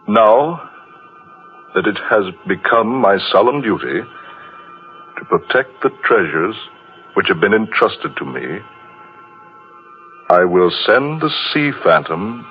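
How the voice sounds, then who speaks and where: An actor playing a sober ship's officer in an old-time radio drama. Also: male voice, deep voice. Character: sober ship's officer reading out a fateful log. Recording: muffled, noisy old radio broadcast.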